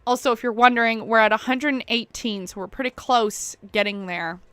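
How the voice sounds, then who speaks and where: A woman's voice: a little high, brisk, fairly bright tone, clear, resonant tone, dry recording. A young woman streamer, chatting casually and rambling, self-deprecating and laughing often. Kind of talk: casual chat while waiting on a stream.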